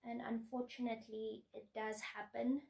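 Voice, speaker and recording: A woman vlogger speaking to the camera in an earnest, measured, reflective way. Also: a little high, little pitch movement, gravelly, a little mellow, distant mic, poor audio. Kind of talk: personal vlog monologue.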